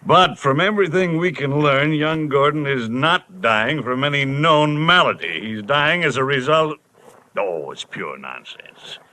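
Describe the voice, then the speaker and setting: An actor playing a stuffy, agitated middle-aged insurance executive with a proper, emphatic manner in vintage radio style. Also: male voice, a little high, expressive, a little slow, sharp tone. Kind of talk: 1940s radio acting. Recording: noisy vintage radio recording.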